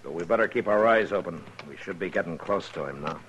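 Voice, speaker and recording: An American actor playing a steady, determined Old West lawman in a 1950s radio western, terse and plainspoken. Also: low voice, quick pace, dull audio. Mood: wary, calm. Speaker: narrator and lead actor. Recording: noisy vintage radio recording.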